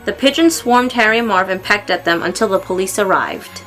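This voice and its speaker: Female storybook reader, bright and clear-cut, expressive delivery for young listeners, soft music underneath. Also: medium pitch, fairly quick, low-quality recording. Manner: expressive, acting out exclamations and dialogue. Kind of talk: read-aloud children's story narration.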